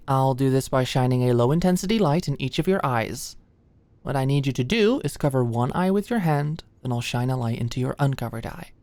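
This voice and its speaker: Woman acting as a nervous sweet med student girl, soft asmr roleplay, awkward and gentle, super dry close mic. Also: sits low, no echo. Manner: gentle, halting, clinical instructions slipping into shy fluster. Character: anxious, flustered young woman medical student, sweet and awkward. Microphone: close microphone, dry sound.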